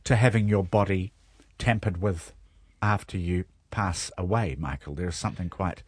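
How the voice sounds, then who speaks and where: Low, slightly husky New radio host. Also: male voice, no room sound. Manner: measured, trailing off low at phrase ends. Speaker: radio talk show host. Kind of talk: radio talk interview. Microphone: studio microphone.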